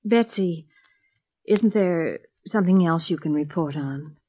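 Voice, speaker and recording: An actress playing a gentle middle-aged mother, affectionate but easily wounded, in a mid-century radio drama. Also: fairly low pitch, a little slow, breathy edge. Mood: subdued, earnest. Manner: genteel, affectionate, expressive. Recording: dull lo-fi old radio recording.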